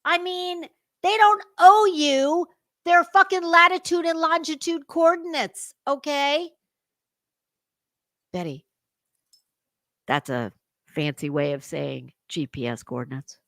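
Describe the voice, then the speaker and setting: A woman's voice: extremely high pitch, slow pace, pauses often, a bit bright, no room sound, clean studio sound. A woman giving casual reaction commentary as a livestream host, sarcastic, mocking and foul-mouthed. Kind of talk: casual reaction commentary over a played clip.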